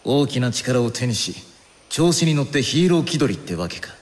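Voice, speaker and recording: An actor playing a cold, haughty young intellectual villain who speaks pompously and analytically in an anime game. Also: male voice, medium pitch, big pitch swings, moderate pace, lightly acted. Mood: suspicious. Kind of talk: anime game cutscene acting. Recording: long reverb, music behind.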